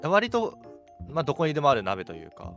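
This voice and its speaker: A man's voice: on the high side, wildly swooping pitch, fairly quick, a bit bright, faint music behind. A young man co-hosting a radio show, explaining things in a casual, conversational way. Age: young adult. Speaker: radio co-host.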